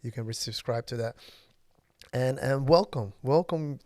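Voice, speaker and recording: A man in his thirties, from Ethiopia and a native Somali speaker, hosting a casual solo podcast and speaking haltingly with many fillers. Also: medium pitch, dark tone, no room sound, studio quality. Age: 30s. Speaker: podcast host.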